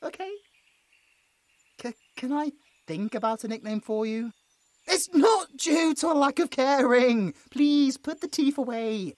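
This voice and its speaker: A man's voice: very high-pitched, animated, measured pace, darkish tone, no echo. A British man playing a nervous, squeamish young man who pleads and stammers his way through a roleplay audio drama. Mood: anxious pleading. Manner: stammering, flustered, exasperated.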